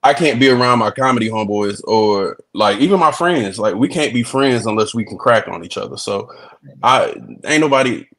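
A man from Texas, a comedian, chatting in a relaxed video-call interview, laughing easily and repeating words as he starts his sentences. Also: medium pitch, normal speed. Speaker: comedian and reality show guest. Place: indoor room.

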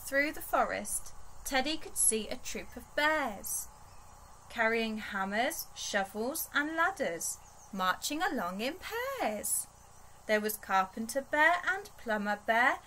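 Young woman reading aloud, lively and unhurried. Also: a little high, slight background noise. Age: young adult. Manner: measured, storytelling delivery for small children. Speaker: children's storytime reader. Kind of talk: expressive read-aloud of a rhyming picture book. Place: small bedroom, indoors.

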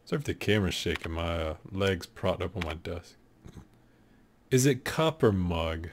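Brief: a deep-voiced guy in his thirties, relaxed streamer, jokes and thinks out loud, low voice, faint room hiss. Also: normal speed. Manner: laid-back, joking, thinking aloud. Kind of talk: casual gameplay commentary. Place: home room at a desk.

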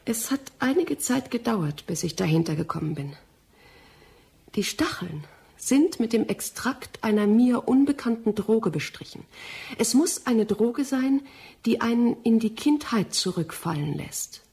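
An actress in a German radio drama playing a determined, accusing young scientist of the nineteenth century, earnest and precise. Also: female voice, fairly high pitch, expressive, measured pace, vocal fry, a little mellow, husky, exaggerated voice. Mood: grave, intent.

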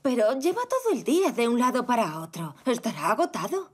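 High, lilting cartoon zebra mother. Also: sing-song, a little fast, husky. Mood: mildly concerned. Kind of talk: animated children's cartoon dub. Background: children's music.

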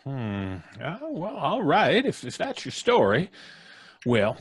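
An actor playing a skeptical old country doctor in old-time radio style, stammering and hesitant. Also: male voice, fairly high pitch, wildly swooping pitch, slow pace, husky, no echo, exaggerated voice. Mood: hesitant, doubting.

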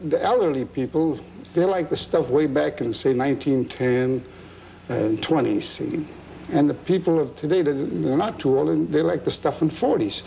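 A man's voice: fairly high pitch, moderate pace, vocal fry, warm tone, a little hiss or hum, rough recording. A man in his sixties chatting casually in an interview, folksy and plainspoken. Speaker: antique machine collector being interviewed. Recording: old videotape with music behind.

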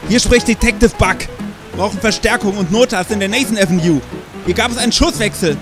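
An actor playing a brazen, scheming police detective in a German audio drama, casual and insistent in manner. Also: male voice, high-pitched, fairly quick, natural voice. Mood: brazen, commanding. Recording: rain and music behind.

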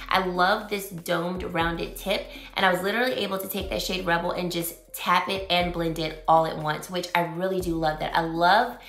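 A woman in her forties, slightly raspy from losing her voice, chatting upbeat and in detail as she reviews makeup in a small home room. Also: on the low side, a bit bright. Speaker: beauty vlogger. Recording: roomy echo.

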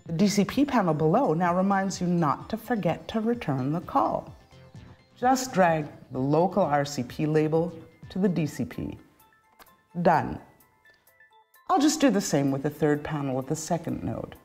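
Middle aged lady doing a how-to product demo, animated intonation, clear step by step, faint music behind. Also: deep voice, normal speed. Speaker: presenter of a product tutorial. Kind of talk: scripted instructional narration. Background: background music.

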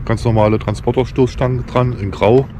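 A man in his forties speaks in a plain, colloquial way, as if showing viewers a do-it-yourself job. His voice sits at a medium pitch. He is recorded outdoors in a garden on a camera microphone, with clear noise behind him. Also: steady tone, a little fast. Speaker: camper van DIY vlogger. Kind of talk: casual talk to camera.

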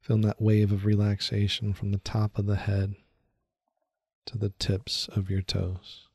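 A man leading a guided meditation in a soothing, unhurried way, speaking in short phrases with long pauses. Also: fairly low pitch, monotone, darkish tone, slightly rough, no room sound, studio quality.

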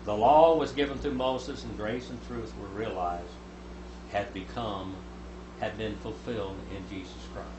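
A man in his seventies teaching the Bible at a lectern, reading scripture aloud and voicing its speakers louder. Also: fairly low pitch, a little slow. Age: 70s. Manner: reads scripture aloud, rising loud for quoted speakers. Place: small room with a lectern. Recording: echoey room, noticeable hum.

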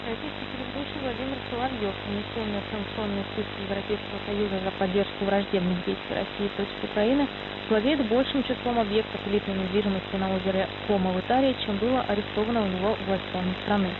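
A woman reads scripted news at a brisk pace. Her medium-pitched voice sounds thin and narrow over shortwave. Strong hiss and rumble cover the broadcast. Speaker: news presenter. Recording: phone-like shortwave radio, loud hiss.

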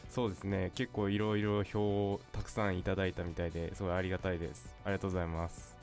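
Male radio guest, fast talker with a deep voice, plain delivery. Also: little pitch movement, darkish tone, faint music behind. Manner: plain, brief, unfussy. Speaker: studio guest, show staff member. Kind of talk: casual thank-you comment.

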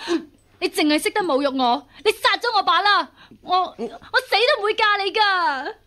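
Very high, shrill young maiden. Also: female voice, animated, normal speed, bright, forceful tone, a little hiss or hum, exaggerated voice. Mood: defiant, hurt. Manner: plaintive, protesting. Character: indignant, girlish young martial-arts maiden. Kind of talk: Cantonese radio drama acting.

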